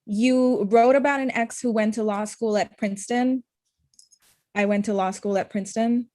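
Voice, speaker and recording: A woman's voice: a little high, fairly even intonation, normal speed, mellow, no room sound, clean studio sound, natural voice. A young woman acting a blunt, hurt, confrontational ex-girlfriend in her thirties in a comedy-drama table read. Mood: blunt, cold. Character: Amita, blunt, hurt ex-girlfriend, thirty-five, sharp-tongued lawyer.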